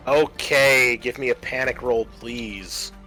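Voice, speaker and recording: Bright-voiced man running a tabletop game. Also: mid-range voice, takes it slowly, soft background music. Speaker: game master.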